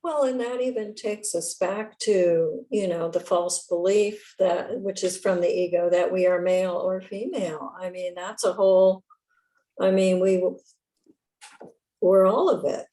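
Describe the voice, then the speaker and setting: Woman in a study group chiming in, mellow warm voice, thinking out loud. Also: medium pitch, unhurried, rough recording.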